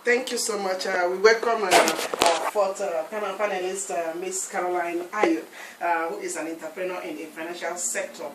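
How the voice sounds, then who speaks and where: Low-voiced woman radio host, echoey. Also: steady tone, moderate pace, few pauses. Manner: affirming, interjects agreement. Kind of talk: moderating a radio panel. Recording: echoey room, far from mic, noisy.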